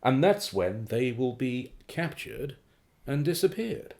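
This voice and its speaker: An actor in a radio play voicing a deferential but scheming fixer with criminal contacts. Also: male voice, mid-range voice, sing-song, slow pace, creaky, darkish tone, full character voice. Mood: sly scheming.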